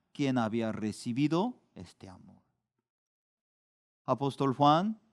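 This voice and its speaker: A middle-aged man, preaching a sermon in a slow, deliberate way, with sudden loud rises for emphasis. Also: medium pitch, clear, resonant tone. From Korea. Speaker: pastor.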